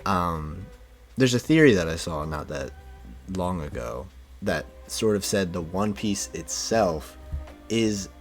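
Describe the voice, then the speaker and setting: A man's voice: deep voice, animated, slow, soft background music. A man in a casual podcast chat, explaining things hesitantly with plenty of fillers.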